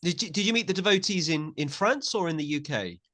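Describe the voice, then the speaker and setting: A man's voice: a little high, lively intonation, brisk, pushed and bright. A man hosting an interview podcast, chatty and repeating his words, with many filler sounds. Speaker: podcast host.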